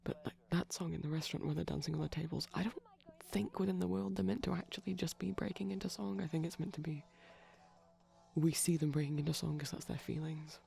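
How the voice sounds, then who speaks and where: A soft, breathy ASMR voice at a medium pitch, with plenty of creak in it. The speaker rambles and explains like a shy, geeky boyfriend watching a film, often sinking into whispers. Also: steady tone, fast, soft background music. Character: shy pastel vampire boyfriend, gently geeky. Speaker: ASMR performer, playing a shy boyfriend character. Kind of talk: soft rambling ASMR commentary over a movie. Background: TV playing in the background.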